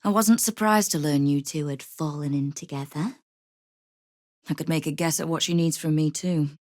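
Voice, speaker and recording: Actress as female underworld boss, low pitch, dry close recording, wry, commanding, hard-bitten, video game dialogue. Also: normal speed, darkish tone, no echo, lightly acted. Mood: sardonic.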